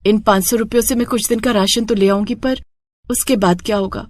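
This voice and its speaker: A woman's voice: medium pitch, fairly even intonation, brisk, darkish tone, dry recording, close to an everyday voice. A woman voicing a poor, orphaned young village woman, earnest, plaintive and polite, in a cartoon moral story. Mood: worried.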